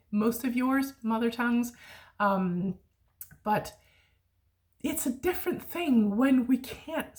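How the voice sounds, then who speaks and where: Danish woman tutor, chatty and reflective. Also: mid-range voice. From Denmark. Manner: reflective, chatty, with searching pauses and fillers. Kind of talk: casual online lesson talk.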